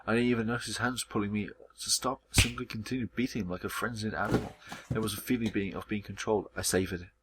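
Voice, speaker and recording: Man reading as a low-voiced, anguished protagonist. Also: fairly even intonation, fast, background noise, close to an everyday voice. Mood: grim, intense. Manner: dramatic first-person storytelling. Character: hot-tempered, anguished young male visual novel protagonist. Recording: music behind the voice.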